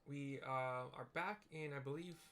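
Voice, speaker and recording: A man's voice: mid-range voice, measured pace, a bit bright, faint music behind. A man casually narrating a video game playthrough, describing the scene in an informal, explanatory way.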